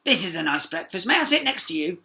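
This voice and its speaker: Man voicing an eager, reedy little frog, high-pitched. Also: very animated, fast, pushed and bright, heavily acted. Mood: chummy. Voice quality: reedy croak. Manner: pleading, chummy. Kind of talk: children's storybook reading.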